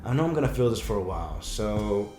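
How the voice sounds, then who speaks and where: A man, a breakup coach, talking casually on a podcast, telling stories with lots of restarts and quick laughs. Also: fairly low pitch, reverberant, over music. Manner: animated storyteller, laughs often, rambling restarts.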